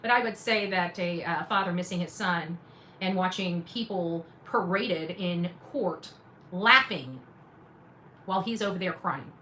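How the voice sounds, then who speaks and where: Emphatic woman commentator with clear background noise. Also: fairly low pitch, a little slow, bright, forceful tone, low-quality recording. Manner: emphatic, repeats phrases, sarcastic asides. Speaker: independent journalist and livestream host. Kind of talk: casual livestream commentary to viewers.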